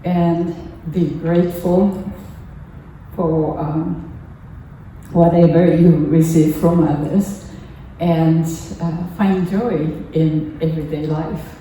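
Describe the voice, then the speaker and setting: An older woman from Japan, a native Japanese speaker with a Japanese accent, gives a halting, earnest personal talk as a lay speaker at a temple service. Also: sits low, fairly even intonation, mellow. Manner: halting, earnest, pausing often mid-phrase. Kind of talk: personal testimony talk to a congregation. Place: temple hall during a service. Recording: echoey room, distant mic, faint noise.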